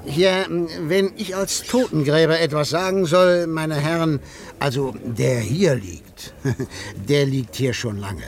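Creaky, drawling village gravedigger. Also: male voice, on the high side, slow. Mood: dry, amused. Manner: drawling, folksy, matter-of-fact. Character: wry old village gravedigger, a man. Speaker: actor playing a gravedigger. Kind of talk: German radio drama acting.

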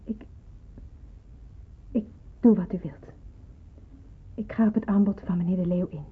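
An actress playing an anxious, questioning young nurse in a Dutch wartime radio play, speaking hesitantly and deferentially. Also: female voice, mid-range voice, steady tone, a little slow, slightly rough. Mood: resigned, obedient. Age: young adult. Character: anxious, questioning seventeen-year-old nurse in wartime hiding. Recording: muffled, phone-like old radio recording.

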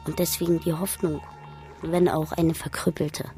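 A pensive, innocent female figure, played by an actress, muses in a radio drama monologue. Her voice sits fairly low and moves in short, halting phrases, with music playing behind it. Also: flat delivery, mellow, lightly acted. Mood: pensive, wistful.

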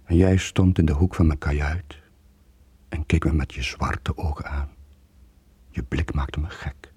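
An actor playing a brooding, guilt-ridden sailor in a radio drama from the 1980s, confessing in a deep, husky voice. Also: male voice, measured pace, a little mellow, no room sound, heavily acted. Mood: anguished, regretful. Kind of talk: 1980s radio drama, intimate narrating monologue.

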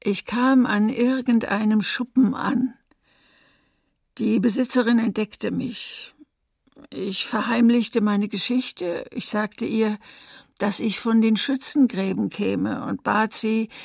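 A woman's voice: a little high, creaky, darkish tone, dry recording, studio quality, heavily acted. A woman playing a massacre survivor giving grave, restrained testimony in a documentary radio drama. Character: woman survivor of a wartime massacre recounting her escape.

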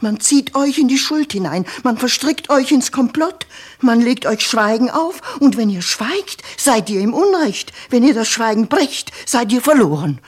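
A woman's voice: on the high side, sing-song, a little slow, slightly rough, full character voice. An actress playing an anxious, suspicious lady's maid in a 1950s German radio play, dramatic and full of foreboding. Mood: ominous warning.

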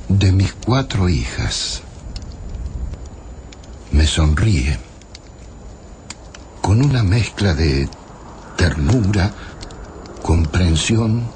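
Male literary narrator, reflective and unhurried, expressive intonation, faint background noise. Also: sits low. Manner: solemn, reflective. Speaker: radio drama narrator.

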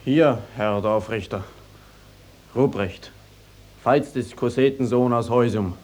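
A man's voice: mid-range voice, slow, a little mellow, slight background noise, natural voice. An actor playing a forthright young peasant man in a radio play, respectful yet defiant and plain-spoken. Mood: dutiful, defiant. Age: young adult. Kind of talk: classic stage-style radio drama acting.

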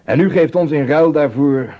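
An actor playing a polite, steadfast young traveller in a radio drama, courteous, measured and firm. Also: male voice, on the high side, lightly acted. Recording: dull, lo-fi recording.

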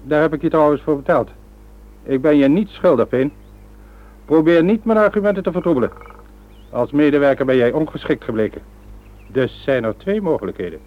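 An actor playing a ruthless upper-class villain in a Dutch radio play, commanding and coolly deliberate. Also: male voice, fairly high pitch, expressive, lightly acted. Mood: cold menace. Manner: imperious, self-justifying, coolly deliberate. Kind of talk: Dutch radio drama acting. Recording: muffled old radio play recording.

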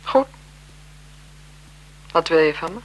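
An actress playing an anxious, guilt-ridden French marquise in a radio drama, speaking formally in halting phrases. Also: female voice, deep voice, lively intonation, rough recording. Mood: tense, resigned. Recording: 1970s radio recording with noise.

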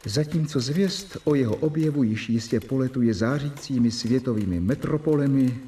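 A man gives scripted narration for a radio drama in a measured delivery, reading out records. His voice is dark and mellow. It sounds a little distant from the microphone. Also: mid-range voice. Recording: voice set back from the mic.

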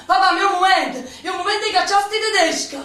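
A woman's voice: extremely high pitch, fast, pushed and bright. A young woman with a Neapolitan accent acting a brave, tender partisan girl in a radio drama. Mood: urgent. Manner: pleading, emotional, dialect-heavy delivery. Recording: roomy, distant mic, music underneath.

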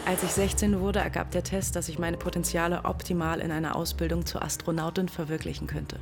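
A woman's voice: lowish voice, level tone, a little fast, lightly acted. An actress narrating as a detached woman recalling her childhood in a radio drama. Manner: cool, detached. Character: cool adult woman narrating her past.

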